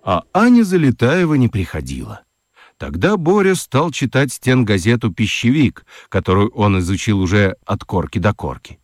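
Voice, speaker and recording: Narrator for children's radio: man, fairly deep, expressive, dry studio sound. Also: moderate pace, no room sound. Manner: expressive storyteller. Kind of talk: scripted storytelling for children's radio.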